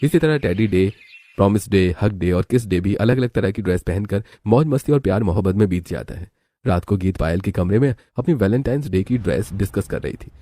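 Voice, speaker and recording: Mellow, quick-talking male storyteller. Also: on the low side, lively intonation, dry recording. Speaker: story narrator. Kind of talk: scripted storybook narration.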